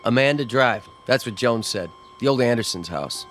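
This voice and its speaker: An actor playing a puzzled, plain-spoken delivery mover in a radio drama, questioning and colloquial. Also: male voice, mid-range voice, animated, normal speed, a bit bright, a little hiss or hum, lightly acted. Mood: uncertain.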